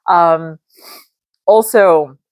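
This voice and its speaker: A woman's voice: on the low side, drawn-out words, darkish tone, no room sound. A middle-aged woman hosting her own podcast from her dining room, chatting in a rambling way with lots of fillers, a little nasal and congested. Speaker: podcast host. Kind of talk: casual solo podcast chat.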